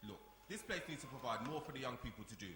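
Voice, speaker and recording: Actor as fast, defensive young man, echoey hall. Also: mid-range voice, animated, lightly acted. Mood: impatient. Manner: urgent, repeats himself. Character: impatient, defensive young man at a youth centre. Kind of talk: audio drama performed before a live audience. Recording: echoey hall, background noise.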